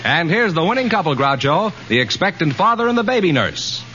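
A man's voice: sits high, very animated, fairly quick. An American man announcing for a 1940s radio quiz show. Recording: dull old radio recording, music behind.

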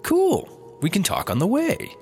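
A man's voice: high voice, huge pitch swings, a little slow, darkish tone, soft background music, heavily acted. A glib, swooping, clueless guy. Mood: flippant. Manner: glib, oblivious, swooping delivery. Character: clueless, cheeky man, flippant lovelorn rehab patient. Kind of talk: sci-fi comedy audio drama acting.

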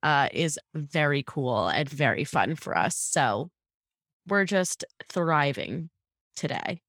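A woman's voice: deep voice, expressive, slow pace, a bit bright, dry recording, clean studio sound. A young woman co-hosting a casual chat podcast, upbeat and giggly with lots of fillers, fighting allergies. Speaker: podcast co-host.

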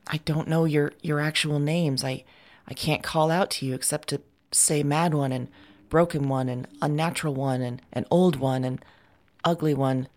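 A woman performing a lonely, frightened woman in an audio drama, speaking haltingly and pleading. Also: low-pitched, steady tone, a little mellow, lightly acted. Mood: panicked, pleading. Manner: halting, hesitant, trailing off. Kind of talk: solo audio drama monologue.

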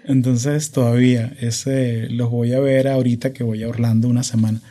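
A man in his thirties with a Venezuelan accent, hosting a casual podcast with energetic, hyperkinetic talk that mixes in English words. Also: mid-range voice, normal speed. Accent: Venezuelan Spanish. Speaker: podcast host. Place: home podcast studio. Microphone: studio microphone.

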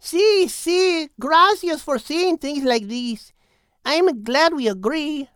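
A woman's voice: high-pitched, slow pace, fairly bright tone, no echo, clean studio sound, pitched above the performer's own voice. A woman voicing a sociable female raven who mixes in Spanish phrases, in a storybook reading. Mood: friendly.

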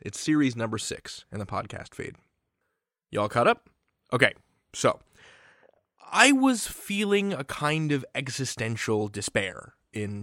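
A man's voice: mid-range voice, fairly bright tone, no echo, studio quality. An American man hosting a podcast in a chatty, folksy, emphatic way as he introduces and interviews.

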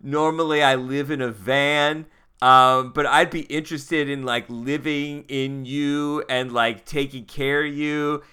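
Rambling easygoing van-living drifter, played by a man, bright voice. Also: mid-range voice, fairly even intonation, unhurried, clear, resonant tone. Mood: easygoing, coaxing. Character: laid-back friendly van-dwelling drifter, amateur ghost investigator.

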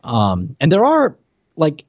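A man's voice: fairly high pitch, wildly swooping pitch, slow pace, no echo. A man co-hosting a podcast, chatting casually and wryly in a conversational game review style. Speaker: podcast host. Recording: dull, dry lo-fi mic sound.

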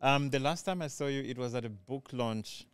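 Co-host: man, average pitch, crisp clean voice, dry close sound, casual conversational delivery. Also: moderate pace, no room sound. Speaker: talk show co-host. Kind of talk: casual chat.

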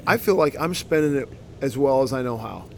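A man's voice: medium pitch, lively intonation, brisk, creaky, slight background noise. A man in his forties hosting a podcast interview, asking reflective questions in an affirming way. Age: 40s. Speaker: podcast host. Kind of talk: casual interview. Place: outdoor conference grounds.

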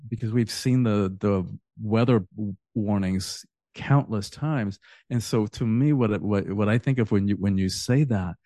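A middle-aged man from Cuba talks as a guest in a relaxed interview. His voice sits low and mellow. The sound is dry and close. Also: dry recording. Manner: earnest, repeats words when starting a thought. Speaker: author and podcast guest. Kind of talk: casual interview talk.

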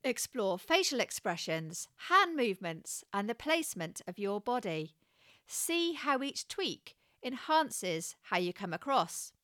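A woman with a West Country English accent, giving scripted coaching advice in a measured, encouraging way. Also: mid-range voice, sing-song, sharp tone, no echo, clean studio sound. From England. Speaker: communication coach.

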